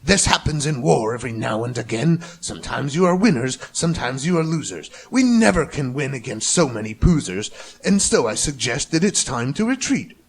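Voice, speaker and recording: A man's voice: medium pitch, big pitch swings, moderate pace, husky. Man putting on a bellowing, pompous army general. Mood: blustering. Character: big bellowing bombastic army general on horseback. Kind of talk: storybook character voice.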